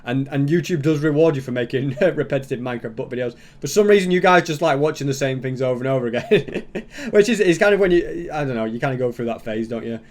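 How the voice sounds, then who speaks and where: A man's voice: mid-range voice, expressive, fast, hardly any pauses. Man rambling through casual reaction commentary. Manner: rambling, thinking aloud. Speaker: YouTuber host reacting to a video.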